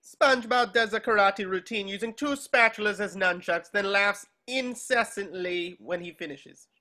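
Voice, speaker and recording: Bright-voiced narrator reading stage directions. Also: mid-range voice, moderate pace. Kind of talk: scripted narration at table reading.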